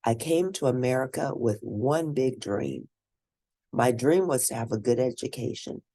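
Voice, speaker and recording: Husky woman reading a story aloud. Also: very deep voice, expressive, moderate pace, warm tone, dry recording, studio quality. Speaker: read-aloud narrator. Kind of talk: scripted storybook reading in first person.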